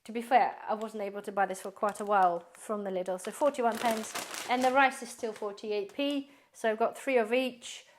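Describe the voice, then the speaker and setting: A woman prepper vlogger chatting casually through a grocery haul, rambling, addressing viewers as guys, her voice slightly hoarse. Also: medium pitch, steady tone, normal speed, hardly any pauses, slight background noise. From based in the UK.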